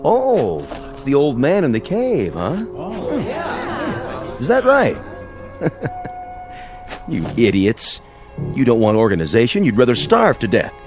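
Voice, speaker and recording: A man's voice: a little high, wildly swooping pitch, takes it slowly, pauses often, music in the background, heavily acted. An American man playing a domineering, mocking army major in an audio drama, talking in sarcastic, slangy, menacing lines. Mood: mocking, scornful. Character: domineering, mocking army major enforcing order after nuclear war.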